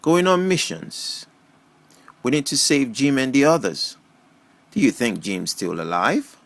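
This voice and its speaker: A man's voice: mid-range voice, expressive, unhurried, gravelly, no echo, pitched above the performer's own voice. A man voicing a young woman survivor in an audiobook, a questioning, needling friend. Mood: probing, teasing.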